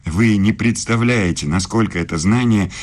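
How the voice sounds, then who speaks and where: Radio drama role for a male actor: lofty male German professor and evasive lover, low register, deliberate, pontificating delivery. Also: natural voice. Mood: insistent. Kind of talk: radio play acting.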